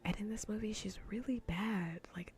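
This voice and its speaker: A woman livestream host chatting casually in a soft, breathy, mostly whispered ASMR voice, rambling informally and repeating phrases for emphasis. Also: medium pitch, moderate pace.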